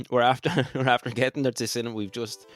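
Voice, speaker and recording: A man's voice: mid-range voice, fairly quick, creaky, soft background music. A man with an Irish accent leading a casual role-play game on a podcast, sweary and enthusiastic. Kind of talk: casual tabletop-style game narration and banter.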